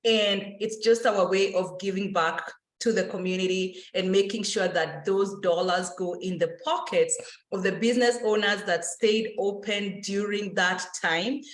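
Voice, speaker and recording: An energetic woman talks in an animated way, rushing through lists and using plenty of fillers. She is presenting as a financial educator. Her voice sits mid-pitch and carries a roomy echo. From Kenya. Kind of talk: casual enthusiastic presentation.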